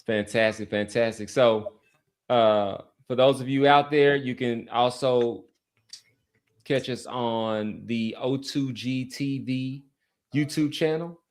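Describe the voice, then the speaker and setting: A man with a mid-pitched voice hosts a casual sports podcast. He talks in a loose, rambling way, often halting as he looks for the right word. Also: fairly even intonation. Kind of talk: casual sports chat and community sign-off.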